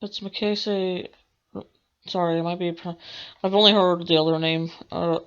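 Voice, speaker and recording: A woman reading a visual novel aloud as a let's play, with a halting delivery that corrects itself. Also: lowish voice, fairly even intonation, clear, resonant tone. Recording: dull lo-fi mic with music behind. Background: game music.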